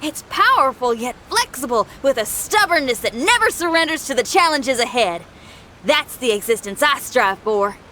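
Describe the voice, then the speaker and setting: Fiery young female rock musician, high bright voice, lively swings, folksy drawl, earnest, game cutscene. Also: moderate pace, a little hiss or hum. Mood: fired up. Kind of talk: video game cutscene acting.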